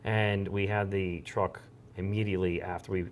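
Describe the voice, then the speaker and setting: A man's voice: low-pitched, level tone, normal speed. A man speaking as an interview guest, a fire chief explaining things conversationally.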